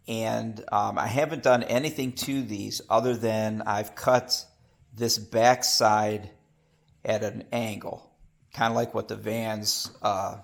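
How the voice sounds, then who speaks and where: Man, head cold, rough voice, low-pitched, unhurried workshop chat, rambling. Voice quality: rough, gravelly. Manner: rambling, self-correcting, with hesitations. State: has a cold. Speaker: homebuilt aircraft builder. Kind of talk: casual workshop vlog. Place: home workshop.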